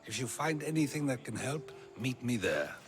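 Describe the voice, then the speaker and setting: An actor playing a wise, wry ancient philosopher in a video game, with a measured, questioning, rhetorical delivery. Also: male voice, medium pitch, big pitch swings, natural voice. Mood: calm, resolute. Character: Socrates, wise wry ancient Greek philosopher, persuasive orator. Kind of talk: theatrical video game dialogue. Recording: music behind the voice.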